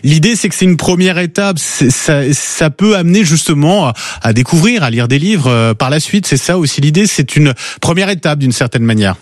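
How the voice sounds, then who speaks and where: A man hosting a morning radio show, interviewing a guest in a friendly, brisk way and reading time checks. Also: a little high, animated, no room sound, studio quality. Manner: friendly, brisk, wraps up with announcements. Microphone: studio microphone.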